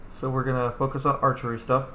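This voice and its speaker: A man records casual gameplay commentary in a mid-pitched voice, muttering to himself as he plays. The room is echoey, with faint noise behind him. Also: flat delivery, fast, pushed and bright. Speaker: gamer recording a roleplay playthrough. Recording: roomy echo, faint background noise.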